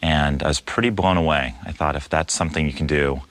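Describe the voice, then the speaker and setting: A man's voice: very deep voice, fairly quick, a bit bright. A male cinematographer reflecting in an interview on what drew him to film.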